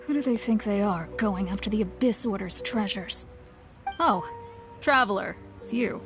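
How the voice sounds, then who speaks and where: A woman playing a young, poised and knowing guild receptionist in an anime-style game, speaking in a measured, courteous, explanatory way. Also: mid-range voice, close to an everyday voice. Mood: musing, indignant. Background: game music.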